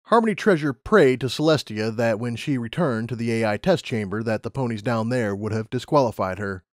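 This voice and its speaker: A man's voice: mid-range voice, animated, fairly quick, no echo, clean studio sound. A man narrating a scripted story as an audiobook narrator.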